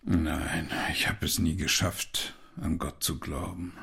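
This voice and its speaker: An actor in a German radio drama playing a gloomy middle-aged father, halting and resigned, with a husky, gravelly voice. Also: rumbling low, steady tone, sharp tone, exaggerated voice.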